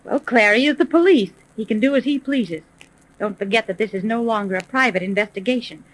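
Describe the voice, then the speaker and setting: An actress playing a shrewd, cautious woman private detective in a 1930s radio serial, commanding and deliberate. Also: fairly high pitch, close to an everyday voice. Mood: shrewd, wary. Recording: noisy 1930s radio recording.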